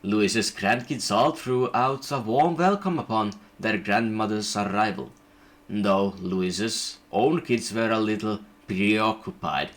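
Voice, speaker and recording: A man's voice: fairly low pitch, slow pace. Man reading a storybook aloud. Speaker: narrator.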